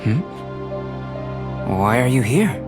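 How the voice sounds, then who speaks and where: A man playing a reserved, scholarly young alchemist in a video game, speaking formally and deliberately with a faint melancholy. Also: mid-range voice, expressive. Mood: composed, businesslike. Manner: measured, formal, deliberate phrasing. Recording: music behind the voice. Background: game background music.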